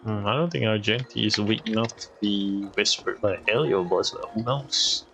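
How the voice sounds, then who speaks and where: A man's voice: lowish voice, moderate pace, vocal fry, fairly bright tone, faint music behind. A man giving casual live game commentary as a streamer, musing aloud in short reactions.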